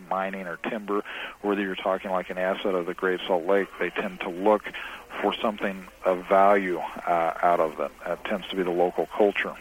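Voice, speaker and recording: A man with a very deep voice answers interview questions, pausing often with filler sounds. Faint noise sits under his voice. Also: rough recording. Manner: hesitant, many filler sounds. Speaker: newspaper reporter.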